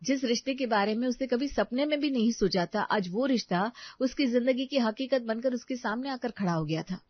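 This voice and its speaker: A woman's voice: on the high side, a little fast, no echo. A woman storyteller delivers scripted dramatic narration. Her voice is recorded dry, with a slightly dull, lo-fi sound.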